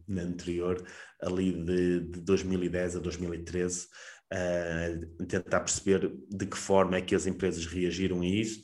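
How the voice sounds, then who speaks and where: A man with a European Portuguese accent, answering interview questions at length, pausing often with fillers and restarts. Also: sits low, darkish tone. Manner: hesitant, many fillers and restarts. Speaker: guest, economist and lecturer. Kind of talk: conversational interview.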